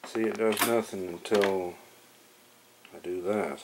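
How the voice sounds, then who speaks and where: A man narrating casually and off the cuff, thinking aloud in a halting way as he tinkers, on a camera microphone in a small room. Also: deep voice, fairly even intonation, gravelly. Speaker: hobbyist demonstrating a reel-to-reel tape recorder. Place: small cluttered room at home, indoors. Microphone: camera microphone near the equipment. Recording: noisy camera audio with clicks.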